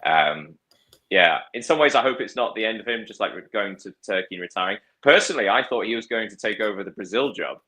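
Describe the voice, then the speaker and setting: Man, low voice, bright tone, casual football talk, thoughtful, halting. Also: expressive. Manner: thoughtful, many fillers and restarts. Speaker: podcast guest.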